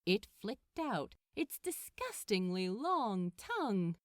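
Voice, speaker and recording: A woman narrating a written story in an expressive audiobook style. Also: medium pitch, takes it slowly, dry recording, clean studio sound. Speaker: narrator of a fan fiction audiobook.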